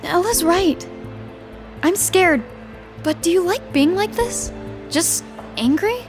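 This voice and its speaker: Anxious, sensible little girl, very high voice, pleading delivery, audio adventure for kids. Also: expressive, slow, music in the background, heavily acted. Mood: earnest. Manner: earnest, pleading. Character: earnest, cautious, anxious young girl, the pleading sensible half. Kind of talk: scripted family audio drama.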